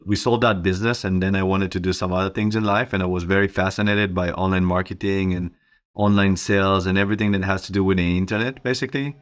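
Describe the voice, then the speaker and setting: A middle-aged man with an Israeli accent giving informal, conversational answers as an interview guest. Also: low-pitched. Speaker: interview guest, company co-founder.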